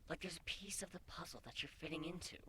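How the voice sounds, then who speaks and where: A man voicing an affectionate young female changeling pony, softly and breathily, with a gentle, teasing manner, for a fan fiction reading. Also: on the high side, fast, a little hiss or hum, pitched above the performer's own voice. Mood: tender. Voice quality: soft, breathy, half-whispered.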